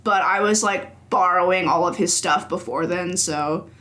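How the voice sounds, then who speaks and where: A young adult games journalist guesting on a podcast, relaxed and rambling, often giggling, recorded in a slightly echoey room. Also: medium pitch, moderate pace. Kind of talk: casual interview chat.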